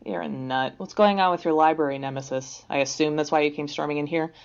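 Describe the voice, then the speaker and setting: A woman playing a brisk, teasing professor in a fiction podcast, with a wry, bantering manner. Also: low voice, steady tone, gravelly. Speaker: actor in an audio drama. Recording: slightly dull, lo-fi sound.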